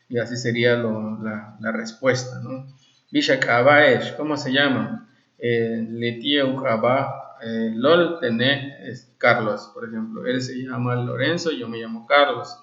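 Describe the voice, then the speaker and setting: A man's voice: mid-range voice, fairly even intonation, moderate pace, a bit bright. A man teaches a language class informally, explaining phrases and often checking that listeners follow. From Valladolid, Yucatán, Mexico. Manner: explanatory, frequent check-in questions. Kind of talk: informal classroom lesson with translated examples. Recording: dull, lo-fi, echoey room.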